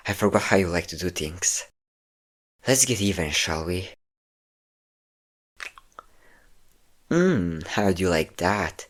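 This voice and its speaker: A man playing a possessive, domineering vampire lover, teasing and commanding the listener in an intimate audio roleplay. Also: sits low. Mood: teasing, smug. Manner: teasing, commanding, breathy whispers at times.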